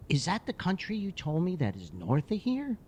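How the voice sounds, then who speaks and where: A man's voice: huge pitch swings, a little mellow, slight background noise. A man playing an eager, curious little boy. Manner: eager rapid questions in a raised childlike pitch.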